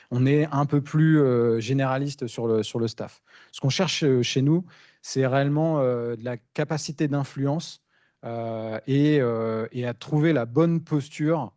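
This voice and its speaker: A man's voice: medium pitch, a little mellow, dry recording, studio quality. A man from giving an informal, explanatory tech conference talk, often repeating words as he searches for phrasing. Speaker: principal engineer speaking on a panel.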